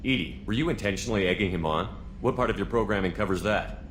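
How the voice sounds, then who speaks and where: An actor playing a steady, no-nonsense male military starship commander in a video game, terse and firm. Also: on the low side, quick pace, a little hiss or hum, close to an everyday voice. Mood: businesslike.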